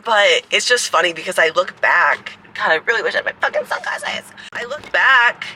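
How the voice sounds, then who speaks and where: A woman in her mid-twenties with a deep, raspy voice, rambling casually to a phone camera outdoors, full of "like" fillers and swearing. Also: lively intonation, moderate pace, sharp tone, a little hiss or hum, low-quality recording. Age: 20s. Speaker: fitness coach and creator. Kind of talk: casual vlog talk to camera.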